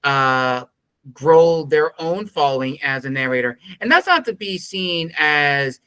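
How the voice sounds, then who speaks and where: A man hosting an interview show, with a bright, forward tone and a smooth, resonant voice. He talks in earnest, winding questions padded with fillers. The recording is dry and close to the mic. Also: a little high, expressive, a little slow, no room sound. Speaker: podcast host interviewing a narrator.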